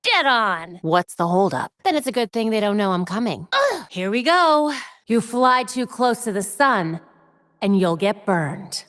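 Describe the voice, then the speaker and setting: A woman's voice: medium pitch, sing-song, measured pace, fairly bright tone, no room sound, clean studio sound. A woman playing a young female superhero in a video game, witty, determined and brainy, with a wry conversational delivery. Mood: determined, forceful.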